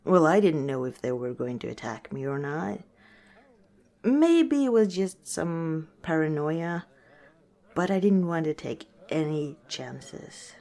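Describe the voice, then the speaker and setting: Female orc tavern owner, played by a woman: lower register, slow delivery, gentle and hesitant, intimate ASMR storytelling. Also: animated, exaggerated voice. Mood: confiding.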